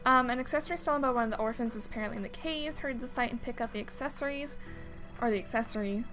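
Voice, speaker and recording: Higher-voiced woman doing gaming commentary. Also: very fast, a bit bright, rough recording. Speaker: gaming video host. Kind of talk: casual playthrough commentary, reading mission text aloud. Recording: game music behind the voice. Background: video game music.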